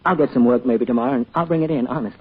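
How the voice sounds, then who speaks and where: An actor playing a poor, humble man pleading in an old-time radio drama, with an American accent and a husky voice. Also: mid-range voice, rapid-fire, lightly acted. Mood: pleading, grateful. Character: poor, humble, pleading husband short of money. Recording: tinny, band-limited old radio recording.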